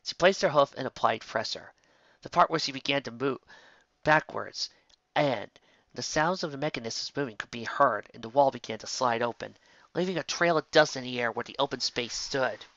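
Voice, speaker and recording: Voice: mid-range voice, normal speed, fairly bright tone, no room sound, dull audio. A narrator reading a fan fiction story in a scripted storytelling style.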